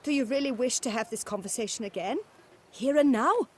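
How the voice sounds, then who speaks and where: A woman's voice: on the high side, a little fast, a little hiss or hum, lightly acted. An actress playing a testy young noblewoman who bickers with her sibling.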